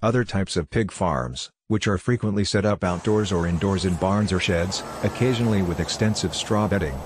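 A man narrating a scripted farming guide in a steady, even reading voice. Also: low voice, normal speed, a little hiss or hum.

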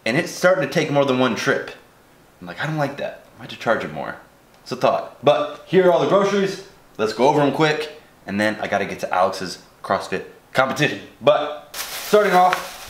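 A man's voice: medium pitch, fairly bright tone. A young American man chatting casually and informally in a home vlog, in a slangy, chatty way. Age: 20s to early 30s. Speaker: fitness food vlogger. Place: home kitchen, indoors. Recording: roomy echo, clear noise and clicks.

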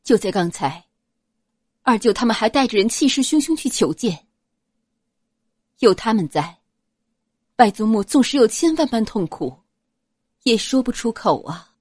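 A woman voicing a gentle, shrewd young noble girl in an audiobook, soft-spoken, measured and persuasive. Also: fairly high pitch, very animated, slightly rough, no room sound, studio quality, full character voice. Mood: gentle persuasion. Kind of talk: audiobook character acting.

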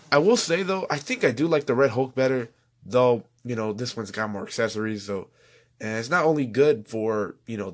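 A young man reviewing toys at home in a rambling, chatty way, his voice going hoarse. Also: lowish voice. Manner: rambling, chatty, self-correcting. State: losing his voice. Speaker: toy reviewer. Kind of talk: casual toy review voice-over.

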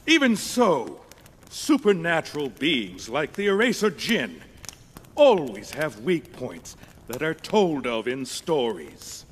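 An eerie old skeleton king from a video game. He is grand, courtly and grave, taking his time over formal, ponderous phrases. His fairly high voice swoops up and down theatrically, over prominent music. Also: wildly swooping pitch, slow, breathy edge, exaggerated voice. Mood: grave explaining. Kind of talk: video game cutscene acting.

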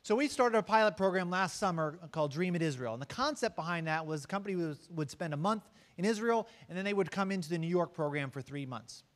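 A middle-aged man as host of an accelerator program, introducing the next startup on stage with a brisk, upbeat manner. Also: sits high, expressive. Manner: brisk, upbeat, some restarts. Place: large hall with stage. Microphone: handheld mic.

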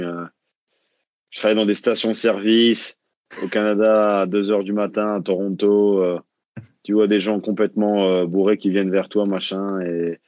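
Halting deep-voiced French man. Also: darkish tone, no room sound. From France. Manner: hesitant, many restarts and fillers. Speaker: guest, ultra-distance runner and coach. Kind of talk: casual interview. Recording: dull lo-fi call audio.

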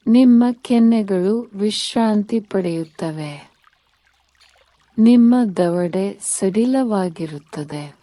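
Very slow, mellow woman guiding meditation. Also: medium pitch, no echo. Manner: calm, soothing, measured. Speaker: meditation guide. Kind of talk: guided sleep meditation narration.

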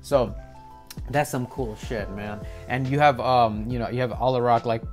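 Young male reaction host, mid-pitched, chatty and meandering, music behind. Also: fairly even intonation, moderate pace. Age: young adult. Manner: rambling, repeats filler phrases like "you know". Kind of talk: casual commentary to viewers. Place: small indoor room with desk and posters.

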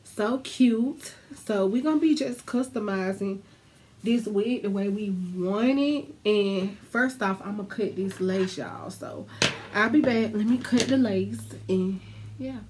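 A young woman talks to her camera in a small dark room, her voice stuffy and congested. She drawls slowly and bluntly, swearing freely as she chats to her viewers. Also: mid-range voice. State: stuffy, congested from a sinus infection. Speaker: beauty vlogger. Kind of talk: casual wig review to camera.

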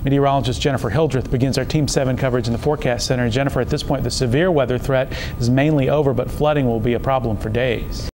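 A man's voice: medium pitch, steady tone, quick pace, few pauses, darkish tone, a little hiss or hum. A man with an American accent reading scripted news as a television anchor.

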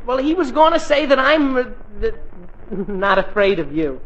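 A man playing an excitable, boastful teenage boy who blusters and stammers, in 1940s radio sitcom acting. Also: very high voice, normal speed, lo-fi sound, full character voice. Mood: nervous, stammering. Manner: stammering when nervous, blustering. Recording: vintage 1940s radio broadcast.

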